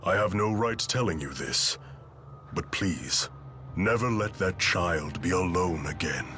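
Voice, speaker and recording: A male actor playing a big, gruff, regretful man in a video game, speaking gravely and haltingly. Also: sits low, expressive, sharp tone, faint music behind, heavily acted.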